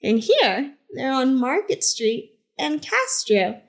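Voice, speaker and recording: A woman reading a picture book aloud to children as a teacher librarian, in simple, deliberate phrases with short asides. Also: high-pitched, big pitch swings. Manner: simple, deliberate phrasing addressed to young listeners.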